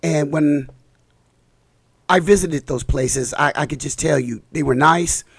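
A man's voice: on the high side, unhurried, vocal fry, breathy edge, no echo, studio quality. A man, a community council president, talking in a casual interview, a bit scratchy from a cold and rambling with restarts. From Los Angeles.

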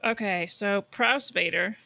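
A woman's voice: medium pitch, a little slow, gravelly, bright, forceful tone, no room sound. A woman co-hosting a podcast in casual chat, with a blunt, opinionated way of talking. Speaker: podcast co-host.

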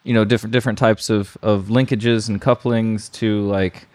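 A man with a fairly low voice co-hosts a podcast. He talks in an informal, conversational way, explaining things as he goes. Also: fairly even intonation, normal speed, a little mellow. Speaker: podcast co-host. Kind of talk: casual chat.

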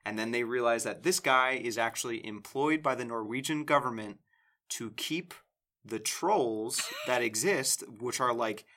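A man's voice: mid-range voice, a little slow, bright, forceful tone. A man co-hosting a podcast, chatting casually and enthusiastically, rambling with lots of fillers. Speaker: podcast co-host. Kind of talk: casual chat.

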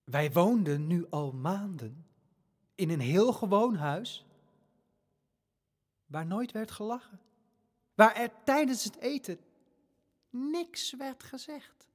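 An actor narrating a family radio play as an earnest, gentle storyteller, with expressive, deliberate phrasing. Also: male voice, high-pitched, full character voice. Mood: subdued, wistful. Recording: prominent music behind the voice. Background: music.

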